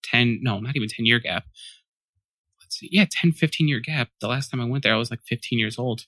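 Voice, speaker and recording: A man's voice: medium pitch, fairly quick, bright, forceful tone, dry recording. A man in his twenties, a podcast guest, answering questions in a loose, rambling way, often correcting himself and thinking aloud. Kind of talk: casual interview answers.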